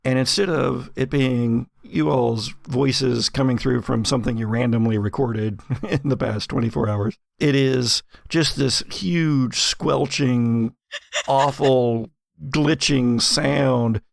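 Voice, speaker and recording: A man running a horror tabletop roleplaying game as game master, narrating descriptively with drawn-out emphasis. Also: mid-range voice, fairly even intonation, gravelly, dry recording, studio quality.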